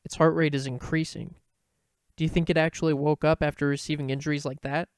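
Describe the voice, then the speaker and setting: Guy doing an obedient guard for a story, flat intonation, raised pitch, clipped and deferential. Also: quick pace, smooth, no room sound, studio quality, lightly acted. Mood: alert, dutiful. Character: obedient male guard reporting to his superior.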